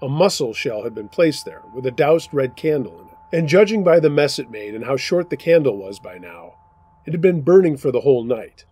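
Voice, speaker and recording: A man hosting a show where he reads submitted true scary stories aloud, in steady, measured first-person narration. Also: mid-range voice, husky. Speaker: storyteller host.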